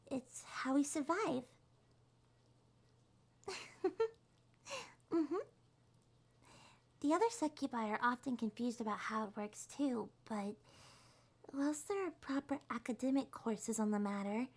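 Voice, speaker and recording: A woman voicing a small, shy, gentle monster girl in an ASMR roleplay, speaking softly, hesitantly and reassuringly. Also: high voice. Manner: hesitant, soft-spoken, reassuring.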